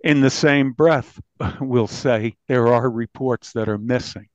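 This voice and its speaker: A man with an American accent recounting a case as an interviewed reporter, haltingly, often restarting his phrases. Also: mid-range voice, dry recording. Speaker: newspaper reporter being interviewed. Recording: dull, dry lo-fi recording.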